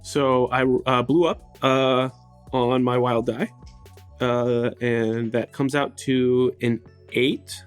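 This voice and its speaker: A man's voice: mid-range voice, little pitch movement, slow, clean tone. A man chatting casually as a player in a tabletop roleplaying game. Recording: music playing behind the voice. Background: music.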